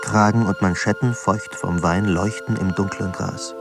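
A man narrating a literary radio drama in a measured, solemn way, pausing between phrases. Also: low voice, steady tone. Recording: studio narration over clear music. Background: music.

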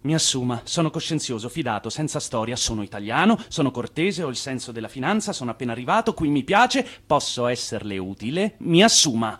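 A man's voice: medium pitch, big pitch swings, fairly quick, few pauses, fairly bright tone, breathy edge. A man playing a brash, ambitious Italian immigrant hustler in a radio play, persuasive and insistent. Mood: eager, pushy.

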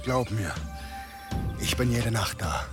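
Weltmüder alter Kämpe, ernst. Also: male voice, lowish voice, lively intonation, takes it slowly, loud music behind the voice. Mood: weary, impatient. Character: weary, repentant medieval berserker warrior sworn against weapons. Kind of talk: radio play acting.

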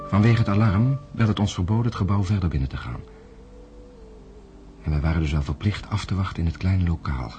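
An actor narrating a radio play as a weary male astronaut, grave and measured. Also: low-pitched, close to an everyday voice. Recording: music under the voice.

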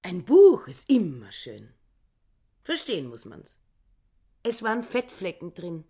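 Casting: a proud, prim housekeeper in a radio play, husky, low-pitched, lively melody. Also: female voice, full character voice. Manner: prim, halting, affected politeness. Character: proud, stiff housekeeper woman, middle-aged. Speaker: actress playing Therese.

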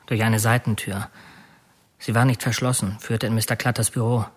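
An actor playing a man who confesses to a burglary turned killing, recounting it in measured, matter-of-fact detail in an audio drama. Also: lowish voice, flat delivery, breathy edge. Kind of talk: audio drama monologue, confession under questioning.

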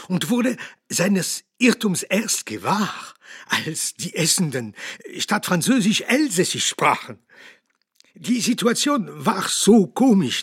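A man playing an elderly, learned Alsatian doctor in a radio play, telling anecdotes in a husky, deliberate, amused way. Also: on the high side, no echo, clean studio sound, exaggerated voice. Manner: deliberate, storytelling. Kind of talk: radio drama acting.

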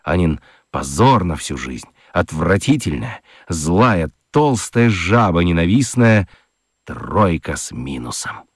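A man narrating a children's radio play as a dramatic storyteller, with expressive, deliberate literary phrasing. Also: low voice, slightly rough, dry recording, clean studio sound. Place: dry studio.

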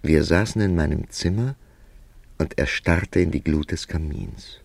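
An actor playing a reflective writer who narrates his own story in a measured, literary radio play style. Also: a bass voice, gravelly, a little mellow, breathy edge, dry recording, exaggerated voice. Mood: hushed, absorbed.

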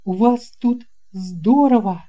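An earnest young boy from a storybook adventure, acted out by a man. The voice sits well up in pitch and is pushed a little louder, with a polite, emphatic way of putting things. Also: takes it slowly. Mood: delighted. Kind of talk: audio storybook character voice.

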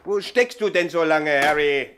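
Actor playing a smug menacing gang boss. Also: male voice, fairly high pitch, normal speed, a little hiss or hum, lightly acted. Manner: cool, smug, quietly threatening. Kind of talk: 1960s radio drama acting.

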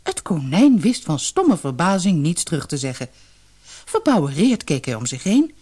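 Casting: female fairy tale narrator, lowish voice, extremely expressive intonation, faint music. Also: normal speed, husky. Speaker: storyteller.

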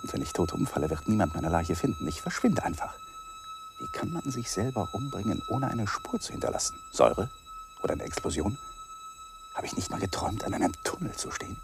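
A man's voice: very high voice, monotone, a little fast, over music. An actor playing a desperate, hunted, lovesick man in a radio drama, thinking aloud in feverish fragments. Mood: feverish, despairing. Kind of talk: German radio drama monologue. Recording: noisy 1980s radio drama recording.

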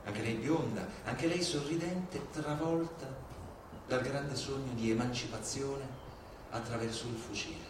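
A man reads aloud from a script in a measured, phrase-by-phrase way. His mid-pitched voice is distant and swims in heavy echo, with clear noise around it. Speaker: stage reader. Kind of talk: scripted reading of an essay. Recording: very echoey hall, distant, noisy.

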